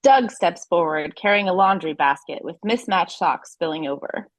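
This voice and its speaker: A woman's voice: fairly low pitch, expressive, moderate pace, no room sound. A woman narrating an audio play from a script, setting scenes and introducing characters.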